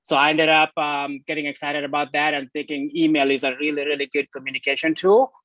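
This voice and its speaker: A man with a Kenyan accent, talking as a guest on a video call in a relaxed, storytelling way with frequent ums. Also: fairly high pitch, quick pace, no echo. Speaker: guest, software company CEO. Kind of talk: casual live-stream conversation. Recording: dry, dull lo-fi call audio.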